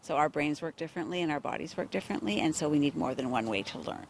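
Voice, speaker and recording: A woman's voice: sits low, expressive, quick pace, heavy background noise. A middle-aged woman, co-founder of a farm school, speaking earnestly in an outdoor interview. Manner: earnest, explanatory, with fillers like "like". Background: faint outdoor ambience.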